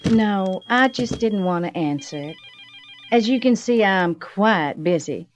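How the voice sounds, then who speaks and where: A woman's voice: medium pitch, animated, measured pace, lightly acted. An actress playing a proud, bitter businesswoman in an audio drama, sardonic and clipped. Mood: icy, sarcastic.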